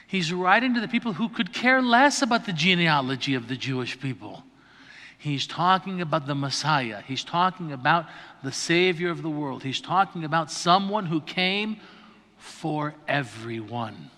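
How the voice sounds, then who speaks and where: A man's voice: fairly high pitch, lively intonation, moderate pace, pushed and bright. A man, a priest, gives a conversational lecture to an adult class, explaining patiently and checking in with his listeners as he goes. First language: not Greek. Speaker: priest teaching adult catechism. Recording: reverberant hall on a livestream mic.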